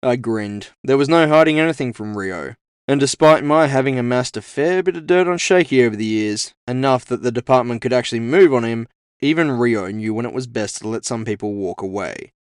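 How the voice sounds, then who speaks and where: A man's voice: medium pitch, brisk, clear, resonant tone, no room sound, studio quality. A man giving a scripted first-person narration of an audio fiction story as its author. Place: dry, close recording space.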